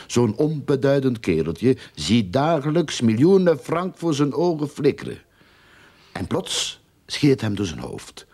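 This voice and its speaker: An actor playing a scheming, self-important private detective in a radio drama, with a pompous, theatrical manner. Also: male voice, fairly low pitch, a little mellow, slightly rough, exaggerated voice.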